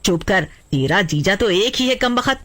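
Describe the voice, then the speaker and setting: A woman voicing a bossy, scolding village wife in an animated story, with a husky voice. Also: medium pitch, a little slow, voice pushed up. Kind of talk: animated horror-comedy story acting.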